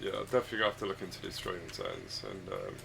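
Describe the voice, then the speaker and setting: A man with a husky, croaky voice, hoarse from a chest cold, chatting casually and rambling hesitantly while streaming a game from home on a desk microphone. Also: low-pitched, huge pitch swings, rapid-fire, background noise. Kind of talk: casual live-stream chat. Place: home room with his dog.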